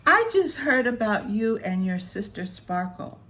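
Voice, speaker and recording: Woman voicing a high-pitched, curious little butterfly girl. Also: very animated, unhurried, fairly bright tone. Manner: wide-eyed, exclaiming, eager questions.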